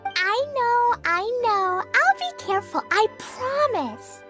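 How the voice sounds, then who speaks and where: A woman's voice: very high voice, animated, slow pace, heavily acted. A woman voicing an eager, cheerful little girl in a video game. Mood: excited. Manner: bubbly, eager, childlike. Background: game music.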